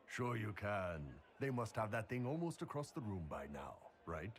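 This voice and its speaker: A man's voice: fairly low pitch, very animated, moderate pace, a little hiss or hum. A man playing a big, easygoing mercenary chief in a game cutscene, with a hearty, casual way of talking. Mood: sly, pleased. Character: big, jovial male mercenary chief, laid-back brawler. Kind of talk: video game cutscene acting.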